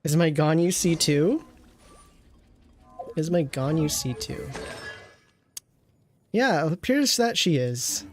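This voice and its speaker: A man's voice: a little high, expressive, takes it slowly, pauses often, soft background music. A man giving casual live game commentary as a streamer, wry and often muttering to himself. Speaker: gaming streamer.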